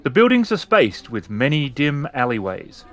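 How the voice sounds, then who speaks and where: Man narrating with very lively pitch. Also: normal speed. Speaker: game master, storyteller. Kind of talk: descriptive tabletop game narration.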